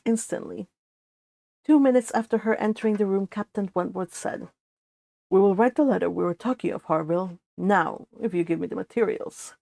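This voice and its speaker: A woman hosting a book read-along, moving between casual, chatty talk and expressive reading aloud, with informal asides. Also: fairly high pitch, no echo. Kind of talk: casual livestream chat and expressive audiobook-style reading aloud.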